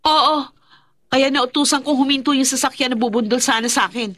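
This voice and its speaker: A woman playing a defiant, vengeful young woman with dark powers in a Filipino radio horror drama, with a Filipino accent. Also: high voice, fairly quick, no room sound, studio quality. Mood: proud. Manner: intense, defiant.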